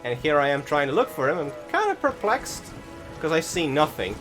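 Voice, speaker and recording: A man giving casual gameplay commentary, rambling and halting, often correcting himself as he goes. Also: a little high, very animated. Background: game music.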